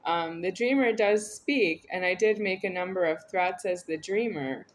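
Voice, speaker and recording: A woman's voice: lowish voice, moderate pace, slight background noise. A woman talking to camera in a meandering, repetitive, earnest monologue. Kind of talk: rambling spoken monologue to camera.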